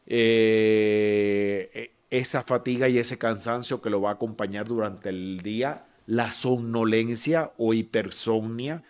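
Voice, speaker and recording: A man's voice: fairly low pitch, fairly even intonation, slow pace, few pauses, a little mellow, clear, resonant tone. A man with a Cuban accent, a physician guest on a podcast, explaining patiently with many fillers and restarts. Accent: Cuban Spanish. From Cuba. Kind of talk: explanatory medical podcast talk.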